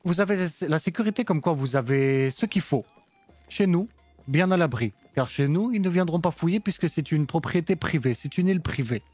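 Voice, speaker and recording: Man putting on a smooth German-accented supplier. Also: a little high, lively intonation, a little mellow, dry recording, soft background music. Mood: calm selling. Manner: smooth, measured salesman talk. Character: composed male foreign supplier, businesslike. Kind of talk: roleplay in character.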